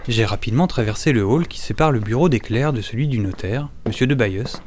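Guy playing timid eager office clerk in a french audio drama, middle pitch, bit of background noise. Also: fairly quick, natural voice. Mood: measured, wry narration. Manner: hesitant, stammering in dialogue. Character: timid, eager male notary's clerk narrating his own story. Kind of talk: French audio drama with first-person narration.

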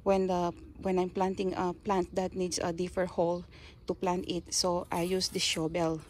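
A woman with a Filipino accent, Kapampangan by birth, casually showing her backyard garden and tools, pausing and searching for words. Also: fairly low pitch, flat delivery, a little mellow, slight background noise. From Philippines. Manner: slow, halting, searches for words. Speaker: gardening vlogger. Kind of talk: casual show-and-tell. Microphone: handheld phone, speaker off camera.

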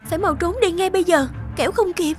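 Very high-pitched anxious young wife. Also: female voice, fast, over music. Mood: worried, pleading. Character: anxious, devoted young wife in old folktale. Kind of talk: cartoon folktale acting.

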